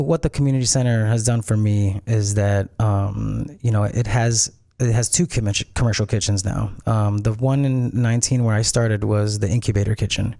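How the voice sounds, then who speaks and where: Low, warm-voiced man explaining calmly. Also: vocal fry, dry recording, studio quality. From Peru and Miami. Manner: thoughtful, explains at length. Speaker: restaurant founder, guest. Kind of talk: casual interview.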